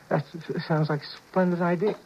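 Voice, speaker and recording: A man's voice: a little high, slight background noise, lightly acted. An American man playing a flustered, sheepish suburban husband who narrates and stammers through his misadventure in 1950s radio drama style. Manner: stammering when flustered, exclaiming. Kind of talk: 1950s radio drama acting with wry first-person narration. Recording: dull 1950s radio recording with music.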